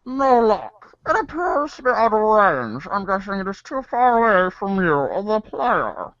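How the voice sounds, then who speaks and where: Excitable young gamer, acted by a woman, theatrical and deliberate, with hugely swinging pitch, slow pace. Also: no echo, dull audio. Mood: earnest, careful. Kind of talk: fan script reading.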